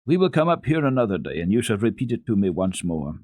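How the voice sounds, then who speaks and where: A kindly gentleman doctor from a children's storybook, read by a man. He speaks gently and courteously in a fairly low voice. The sound is dry and close. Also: very animated, fast talker, no echo. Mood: gentle, cheerful.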